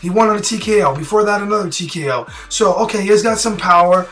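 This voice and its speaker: A man with a raspy, hoarse voice, worn out from yelling, talking straight to camera in a blunt, rambling and opinionated way. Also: high-pitched, quick pace, soft background music. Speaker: boxing prediction vlogger. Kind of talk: casual direct-to-camera talk.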